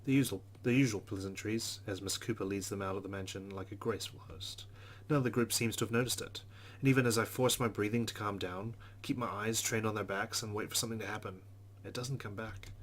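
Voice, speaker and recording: A man's voice: on the low side, steady tone, quick pace, slight background noise. A man hosting a let's play, narrating a visual novel aloud and adding his own asides.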